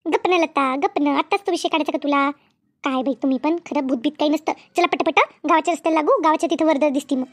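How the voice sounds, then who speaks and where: A woman voicing an excitable, easily frightened village woman in a cartoon comedy, with a rural Maharashtrian accent and a chattery, repetitive way of talking. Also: sits high, quick pace, lightly acted. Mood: nervous, urging. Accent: rural Maharashtrian Marathi.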